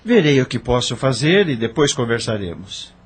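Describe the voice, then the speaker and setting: A man's voice: medium pitch, very animated, normal speed, close to an everyday voice. A man playing an earnest, grieving doctor and family man in an audio novel. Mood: firm, reassuring. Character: earnest grieving widowed doctor, loving husband and father. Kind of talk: audio novel dramatic acting.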